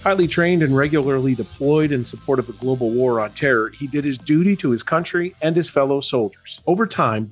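A man hosting a podcast, reading a scripted intro and asking questions haltingly with fillers. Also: medium pitch. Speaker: podcast host.

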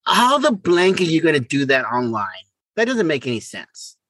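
A man's voice: fairly high pitch, very animated, moderate pace, fairly bright tone, no room sound. An older man co-hosting a casual podcast chat, stammering and repeating words, often exclaiming. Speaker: podcast co-host.

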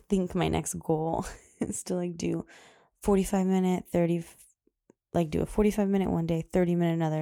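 A woman in her thirties hosts her own podcast, rambling through loose casual chat with fillers and frequent laughs. Her voice is loud, low and mellow, with a gravelly fry running through it. The sound is dry and close, with no room around it. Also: no room sound. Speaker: podcast host.

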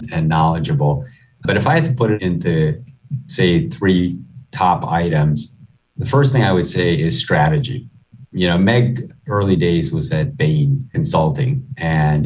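A middle-aged man with a low voice answers interview questions as an executive. His delivery is measured and conversational, sprinkled with "you know". He sounds somewhat distant from the microphone. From Guyana, moved to the US at eleven. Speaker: chief executive, interview guest.

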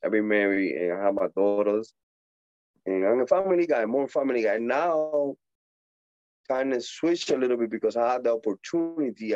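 A man in his forties from Puerto Rico, with a Puerto Rican Spanish accent, speaking as a guest in a casual interview, animated, laughing often, with halting English. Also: medium pitch, dry recording, dull audio. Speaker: boxer guest.

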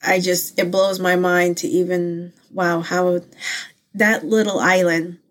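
A woman's voice: lowish voice, little pitch movement, takes it slowly. A woman born in the Philippines whose first languages are Pangasinan and Ilocano, hosting a casual personal faith vlog in an earnest, heartfelt way, rambling with many fillers and restarts. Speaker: channel host.

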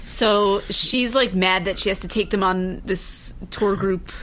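A woman speaks at an average pitch. She co-hosts a podcast, talking in an informal, conversational way. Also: steady tone, unhurried, fairly bright tone, a little hiss or hum, low-quality recording. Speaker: podcast co-host. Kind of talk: casual conversational chat.

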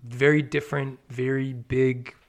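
A man co-hosting a podcast, talking casually in a measured way with short, supportive replies. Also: medium pitch, flat delivery. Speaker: podcast co-host. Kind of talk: casual chat.

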